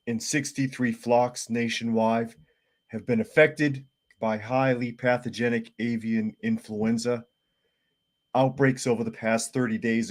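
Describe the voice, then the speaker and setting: A man with a sore, hoarse throat who hosts a live podcast, reading viewer comments aloud in a plain-spoken, casual way. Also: mid-range voice. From United States. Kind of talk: casual live-stream chat.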